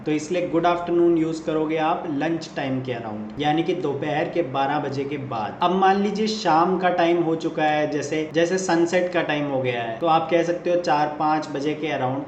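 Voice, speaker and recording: A man with a fairly high voice teaches a beginner English lesson, explaining patiently and then saying each practice phrase slowly and distinctly. He sounds like he is in an echoey room, with a faint hum of ambient noise behind him. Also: a little mellow. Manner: explains in Hindi, says English model phrases slowly and clearly. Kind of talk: step-by-step spoken lesson.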